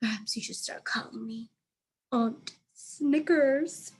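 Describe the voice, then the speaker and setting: A woman voicing a giddy, lovestruck female dog in a storybook, gushing and crooning with dramatic drawn-out words. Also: fairly high pitch, darkish tone, slightly rough. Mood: giddy, flirtatious.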